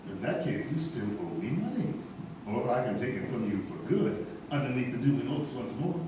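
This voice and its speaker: An actor playing a suave, menacing gambler and killer with florid, mock-courteous speech in a live stage radio play. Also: male voice, medium pitch, sing-song, normal speed, close to an everyday voice. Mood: cold menace. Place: theater stage before an audience. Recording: echoey theater, distant mic, background noise.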